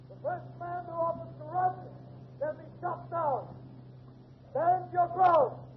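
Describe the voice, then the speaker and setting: A resolute militia captain, a man calling orders in a very high, raised, commanding voice. It is vintage radio drama, thin and narrow in sound with faint noise. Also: slow. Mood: defiant, commanding. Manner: commanding, declamatory, shouted orders. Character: resolute male militia captain rallying his men. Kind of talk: 1940s radio drama acting.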